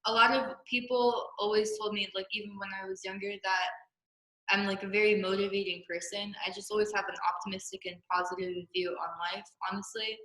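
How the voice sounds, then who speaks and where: A young woman with a bright, clear-cut voice gives a casual interview. She is upbeat and informal, and the roomy space puts an echo on her speech. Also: mid-range voice, level tone, a little fast. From Jordan. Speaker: podcast guest. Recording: roomy, echoey podcast room.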